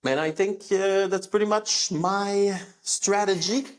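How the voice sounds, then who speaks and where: A man's voice: sits high, fairly even intonation, takes it slowly. A young man who speaks French as his first language, with a French accent, talking casually on his live podcast with many fillers. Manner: conversational, many fillers, rising emphasis on key words. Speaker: podcast host.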